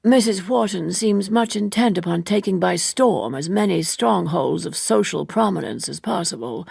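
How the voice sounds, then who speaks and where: A woman voicing a courtly, wordy older gentleman author in a measured, formal, orotund manner, as in an audiobook. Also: lowish voice, lively intonation, gravelly, slightly rough, dry recording, studio quality, full character voice.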